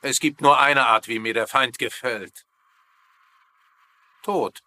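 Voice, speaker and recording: A man's voice: mid-range voice, slow pace, bright, forceful tone. A man playing an arrogant, menacing German military officer, clipped and contemptuous, as a sitcom villain. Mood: cold, contemptuous.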